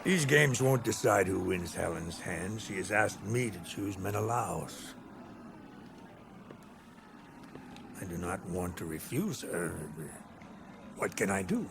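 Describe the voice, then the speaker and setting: A man's voice: sits low, lively intonation, measured pace, vocal fry, noisy background, full character voice. A man playing a genial but worried older Greek king and father in a game, speaking in a stately, courtly way. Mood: anxious, intrigued. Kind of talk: dramatic game cutscene acting.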